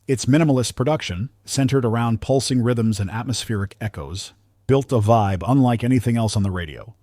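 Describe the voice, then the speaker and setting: A man reading scripted countdown narration in a polished, measured storytelling manner, close on the microphone. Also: fairly low pitch, darkish tone, dry recording.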